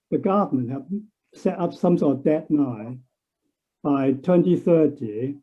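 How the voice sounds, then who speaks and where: A man from a church congregation speaking earnestly on a video call, often pausing to search for words. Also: on the high side. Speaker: church member. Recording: tinny phone-like line.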